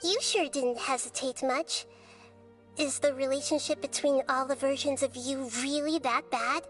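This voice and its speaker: A wise, childlike goddess for a video game in a high voice. She is composed and shrewd, choosing her words deliberately and with quiet firmness. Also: steady tone, soft background music. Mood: probing, wry.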